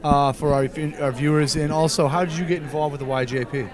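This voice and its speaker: A male interviewer speaks fast in a slightly raised voice, tossing in quick encouraging responses. A loud crowd chatters behind him. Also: fairly high pitch, steady tone. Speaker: interviewer, show host. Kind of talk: casual interview.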